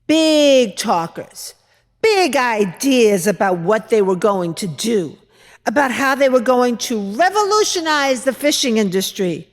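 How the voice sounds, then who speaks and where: A woman playing a fretful, opinionated widowed mother-in-law from biblical times in a confiding, deliberate dramatic monologue. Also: fairly high pitch. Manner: confiding, storytelling, deliberate with rhetorical pauses. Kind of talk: dramatic monologue reading in church. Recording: echoey hall with long reverb.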